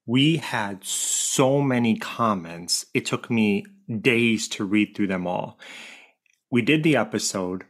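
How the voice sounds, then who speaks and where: A man who works as a grief coach, telling stories in a relaxed, chatty way in a podcast interview and laughing easily. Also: on the low side, slow. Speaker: grief coach and co-author guest.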